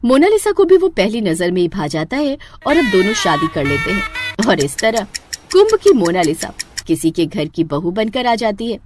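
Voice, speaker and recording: Animated woman storyteller. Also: medium pitch, moderate pace, over music. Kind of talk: scripted Hindi moral-story narration.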